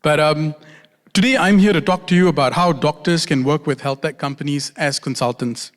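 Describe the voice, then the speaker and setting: A man from Singapore gives a friendly, self-deprecating conference talk as a doctor and consultant, slowing down to repeat key phrases. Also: fairly high pitch. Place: large conference hall. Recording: reverberant conference hall.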